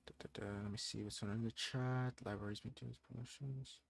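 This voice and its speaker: A man's voice: mid-range voice, fairly even intonation, brisk, creaky, no room sound. A young man hosting a livestream, reading from a book aloud and chatting in a thoughtful, hesitant way with frequent restarts. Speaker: livestream host.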